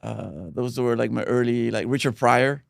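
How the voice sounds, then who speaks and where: A man from Belgium, a stand-up comedian guest in casual podcast chat, talking haltingly with many restarts and fillers. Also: mid-range voice, flat delivery, creaky, dry recording, clean studio sound.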